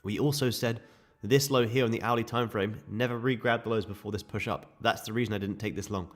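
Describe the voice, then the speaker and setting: A man with a sore, croaky throat, casually walking viewers through live trading charts. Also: on the low side, steady tone, brisk. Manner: casual, chatty explaining to viewers. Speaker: day trading streamer.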